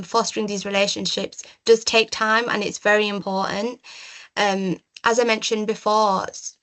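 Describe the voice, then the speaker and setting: A young woman whose first languages are Urdu and Punjabi, giving a measured slide talk as a community mental health worker, with frequent ums. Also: mid-range voice, fairly bright tone, rough recording. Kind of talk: presentation with slides.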